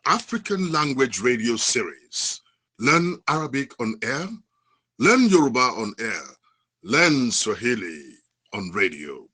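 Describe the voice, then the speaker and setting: A man giving a prepared academic presentation in formal, measured phrasing. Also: medium pitch, big pitch swings, bright, forceful tone, breathy edge. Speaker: academic contributor.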